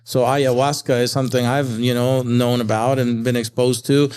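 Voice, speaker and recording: A man's voice: mid-range voice, little pitch movement, moderate pace, clean tone. A man from Peru hosting a podcast, asking questions with frequent fillers and reactions. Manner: warm interviewer, many "you know" and "uh" fillers. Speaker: podcast host. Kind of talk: interviewing a guest.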